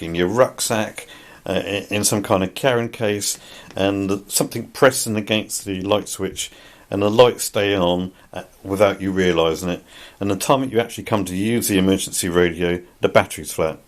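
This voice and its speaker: Guy, lowish voice, doing an off the cuff product review, bit hesitant, plain spoken. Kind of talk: unscripted product review narration. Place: indoors.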